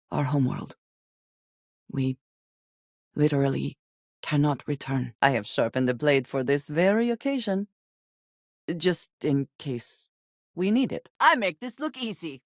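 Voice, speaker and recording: A woman voicing a guarded, intense young space adventurer in a video game, speaking formally with measured diction and few contractions. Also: extremely low pitch, darkish tone, no echo, studio quality. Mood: determined. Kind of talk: video game character dialogue.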